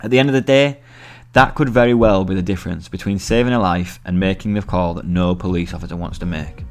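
A man's voice: sits low, quick pace. A man narrating a scripted long-form article as the journalist who wrote it. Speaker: journalist reading own article.

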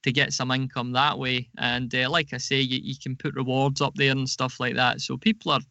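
A man giving casual, explanatory interview answers as a podcast guest, speaking in a measured way with some hesitation. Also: mid-range voice, flat delivery, vocal fry, sharp tone, no room sound. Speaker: podcast guest, audio drama producer.

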